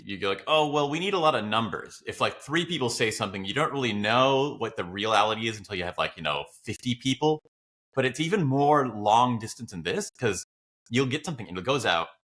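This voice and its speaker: A man's voice: mid-range voice, bright, forceful tone. A man co-hosting a podcast in a casual, reflective style, musing loosely as he thinks aloud. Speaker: podcast co-host.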